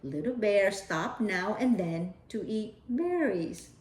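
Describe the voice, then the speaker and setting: A woman's voice: medium pitch, animated, slow, a little mellow. A woman storyteller reading a children's picture book aloud. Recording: roomy echo.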